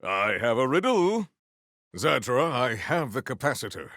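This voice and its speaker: Pompous noble axe warrior for a game, super animated pitch, really dry close recording. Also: male voice, a little slow, no echo. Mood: solemn, earnest. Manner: pompous, formal, grandiose theatrical phrasing. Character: noble, bombastic, earnest axe-wielding warrior hero. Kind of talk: video game character voice acting.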